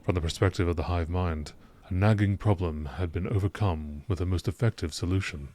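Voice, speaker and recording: Deep-voiced man narrating in documentary style. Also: brisk, darkish tone, slight background noise. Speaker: narrator reading game lore texts aloud.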